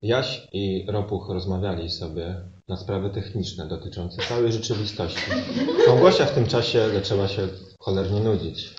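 A man narrating improvised comic fairy tales as a stage performer, in a mock-solemn, deliberate way. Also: low voice, fairly even intonation, a little mellow. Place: indoor live performance space. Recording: echoey live room, muffled, noisy.

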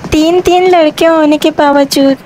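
A man's voice: very high-pitched, fairly even intonation, unhurried. A man voicing a young woman gamer through a girl voice changer, chiding squadmates in casual game chat. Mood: annoyed. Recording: faint noise under game voice chat.

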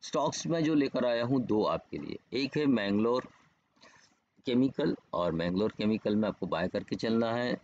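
A man's voice: medium pitch, lively intonation, moderate pace, a little mellow. A man explains markets informally as a trading educator, often checking in with the listener. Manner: explanatory, with repeated check-in tags. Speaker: stock trading educator and host. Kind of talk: informal market analysis over screen charts. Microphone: voice-over on screen recording. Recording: dull, muffled lo-fi voice-over.

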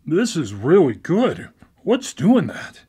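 A man's voice: on the high side, huge pitch swings, takes it slowly, mellow, husky, exaggerated voice. A man in a live radio drama playing a recently blind former engineer, hesitant and stammering with a wry, self-deprecating humor. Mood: awkward, wry. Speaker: lead character in a radio drama. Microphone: studio microphone.

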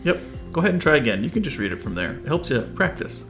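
An American man hosts an instructional video in a casual, coaching way. His pitch moves around in a lively manner at a middle register, and faint music can be heard. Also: fast. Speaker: video host, flying instructor. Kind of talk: presenter explaining to camera, casual coaching. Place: furnished living room, indoors.

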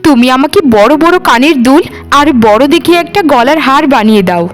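A woman's voice: high voice, animated, brisk. A woman voicing a greedy, nagging village wife in a cartoon, scolding and melodramatic. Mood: demanding, scolding. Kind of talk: Bengali cartoon voice acting. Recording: music behind the voice. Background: music.

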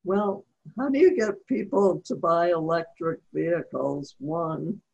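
An older woman asks a question from her living room over a video call. She speaks slowly and deliberately, with a gravelly voice. The call audio is muffled. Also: on the low side, darkish tone. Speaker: audience questioner.

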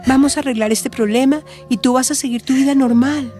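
An actress with a Colombian accent playing a caring but firm friend in a radio soap, earnest and persuasive. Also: female voice, high voice, fairly even intonation, fairly quick, darkish tone, faint music behind, close to an everyday voice. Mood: reassuring. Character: caring, firm woman who counsels friends. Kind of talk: radio soap opera acting.

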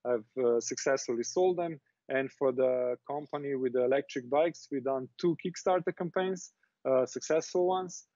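A man in his thirties from Slovenia, speaking English as a second language, answers at a measured pace and pauses on fillers often. His voice comes through a dry, lo-fi video call with no room sound. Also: medium pitch, mellow, no echo. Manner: hesitant, many uh fillers. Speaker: basketball club president, interview guest. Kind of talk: remote interview answers. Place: small office indoors. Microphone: earbuds on video call.

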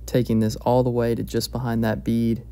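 A man narrates a hands-on craft tutorial in a calm, methodical way. His voice is mellow and dark, with very little rise and fall. He sounds relaxed and plainspoken as he explains each step. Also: mid-range voice, moderate pace. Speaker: fly-tying instructor. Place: home fly-tying bench.

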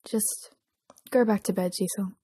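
A woman's voice: mid-range voice, steady tone, measured pace, warm tone, slightly rough, dry recording. A woman voicing a weary, self-doubting young male pop idol in a story, evasive and troubled in manner.

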